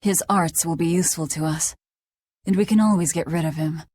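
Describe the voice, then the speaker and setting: A woman's voice: lowish voice, steady tone, a little fast, a little mellow, breathy edge, dry recording, studio quality. An actress playing a fierce, vengeful young demon woman in a dramatic video game, blunt and commanding. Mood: cool, blunt. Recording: music behind the voice.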